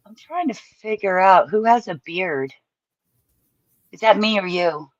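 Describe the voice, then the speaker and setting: Middle-aged woman, calm and dry-humored; muffled, dead-dry remote audio. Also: mid-range voice, unhurried, dry recording. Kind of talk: casual chat.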